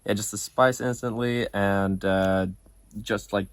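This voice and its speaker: A young man co-hosting a cigar review show, chatting in a relaxed, hesitant way with many pauses. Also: fairly low pitch, clean tone, dry recording. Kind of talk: casual review chat.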